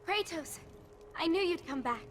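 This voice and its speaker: A woman's voice: very high-pitched, animated, moderate pace, fairly bright tone, slight background noise. A woman voicing a frightened, trusting young girl in a video game, earnest and urgent. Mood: anxious. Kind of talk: dramatic video game voice acting.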